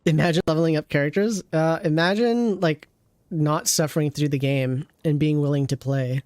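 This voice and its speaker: A streamer giving casual, rambling game commentary, teasing and chatting with viewers, in a middle-pitched voice. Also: normal speed, darkish tone, dry recording.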